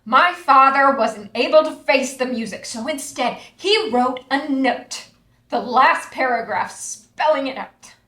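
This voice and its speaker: An actress reading the part of a troubled grown daughter at a screenplay table read. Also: female voice, sits high, a little slow, pushed and bright. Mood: anguished. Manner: emotional, pleading. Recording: echoey room.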